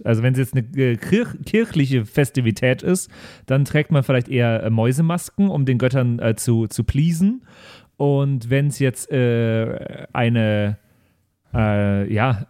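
A man's voice: medium pitch, a little mellow, no room sound, clean studio sound. A man chatting casually as a podcast host and role-play player. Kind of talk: casual group discussion of a role-play campaign.

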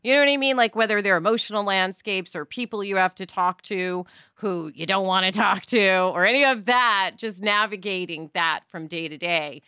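A female podcast co-host chats informally and expressively. Her voice is bright and mid-range, recorded dry with no room around it. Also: medium pitch, normal speed, clear, resonant tone, dry recording. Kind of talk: casual chatty discussion.

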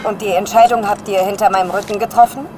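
A woman's voice: medium pitch, fairly even intonation, fast, close to an everyday voice. An actress playing a determined woman scientist astronaut on Mars, indignant and insistent, in a radio drama. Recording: heavy storm noise behind.

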